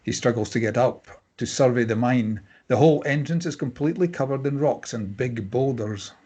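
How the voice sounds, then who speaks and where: Male narrator, raspy mid-range voice, reading screenplay action lines. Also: medium pitch, normal speed, darkish tone. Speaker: stage-direction reader. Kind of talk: scripted narration at a table read.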